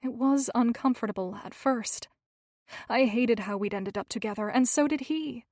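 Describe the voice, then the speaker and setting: A woman's voice: a little high, moderate pace, darkish tone, dry recording, clean studio sound. A woman voicing a grieving young wife who pleads and breaks with emotion. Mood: reflective.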